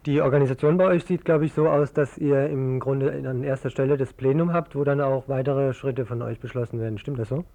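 A man's voice: medium pitch, fairly quick, few pauses, dark tone. A man hosting a local radio interview, asking questions in a hesitant, searching way. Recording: muffled, dull lo-fi radio recording.

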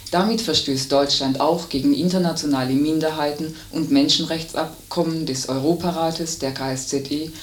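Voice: mid-range voice, steady tone, normal speed, hardly any pauses. A news reader delivering scripted radio news. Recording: roomy, distant, hissy radio recording.

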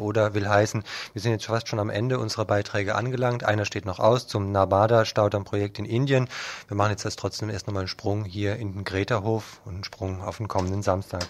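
A man hosting a live radio magazine in an informal, rambling, hesitant way. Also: on the low side. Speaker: radio host. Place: radio studio.

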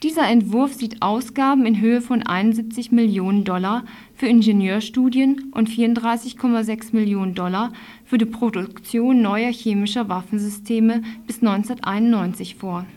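A woman's voice: a little high, normal speed, warm tone. A woman reading a scripted news report aloud as a radio news reader, formal, with an occasional slip.

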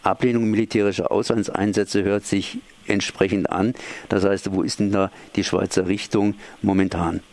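A man's voice: fairly low pitch, level tone, moderate pace, a little mellow. A man asking questions as the interviewer in a conversational radio interview. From Germany.

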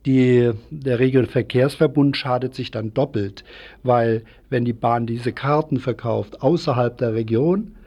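A man giving an unscripted radio interview as a guest activist, explaining things in a halting way. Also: medium pitch, dark tone, lo-fi sound.

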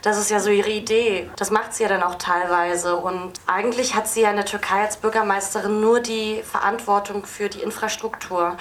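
Female filmmaker, mid-pitched, explaining off the cuff at a festival Q&A, slightly noisy venue recording. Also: fairly even intonation, moderate pace, hardly any pauses. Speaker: film director at an audience Q&A. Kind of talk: spontaneous interview answers. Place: film festival screening venue. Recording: noisy live event recording.

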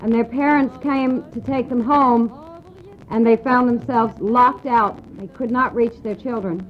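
An American woman giving personal testimony in halting, short phrases, pausing for an interpreter. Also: on the high side, fairly even intonation. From United States. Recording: dull lo-fi archive radio tape.

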